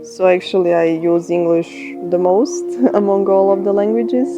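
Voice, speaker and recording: A woman in her thirties with a Croatian accent, a software engineer speaking in a documentary interview, thoughtful with pauses and restarts. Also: mid-range voice. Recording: music bed under the voice.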